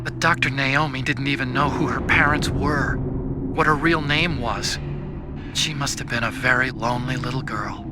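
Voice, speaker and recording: An actor playing a sensitive, earnest scientist in a video game, speaking in a confessional way. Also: male voice, medium pitch, moderate pace, natural voice. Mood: reflective, sad. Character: sensitive, earnest male scientist, sorrowful and gentle. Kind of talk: dramatic video game voice acting. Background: loud music.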